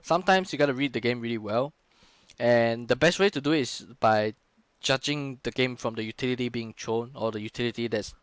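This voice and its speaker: A man's voice: mid-range voice, a bit bright, clear, resonant tone, no echo. Casual man breaking down gameplay. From Singapore. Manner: casual, frequent swearing and fillers. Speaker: streamer and in-game leader. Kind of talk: casual live-stream game analysis.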